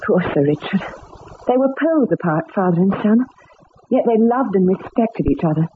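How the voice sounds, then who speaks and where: An actress voicing a grieving young woman, a murdered man's fiancée, in a vintage radio drama, speaking softly and tearfully. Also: medium pitch, expressive, normal speed, natural voice. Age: young adult. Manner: tearful, fragile, softly spoken. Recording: lo-fi old radio broadcast with hiss.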